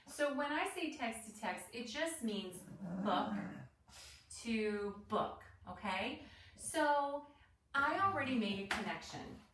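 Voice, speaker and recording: Lady teacher doing a kids read-aloud lesson, simple encouraging explaining, bit far from mic in an echoey room. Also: fairly high pitch. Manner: simple, encouraging explanations with frequent check-in questions. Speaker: elementary teacher. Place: indoor room with pet dogs nearby. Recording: roomy echo, distant mic, background noise.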